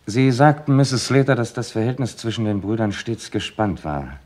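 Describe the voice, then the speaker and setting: An actor playing a methodical, strict police inspector in a German radio crime drama, formal, polite and probing. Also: male voice, mid-range voice, normal speed, darkish tone, lightly acted.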